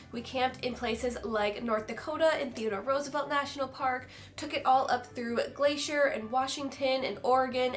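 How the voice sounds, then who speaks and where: A woman's voice: high voice, fairly bright tone. A woman in her twenties from Minnesota, chatting enthusiastically through a casual product review vlog, her voice stuffed up and nasal from a recent illness. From Minnesota, USA. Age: 20s. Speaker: vlogger.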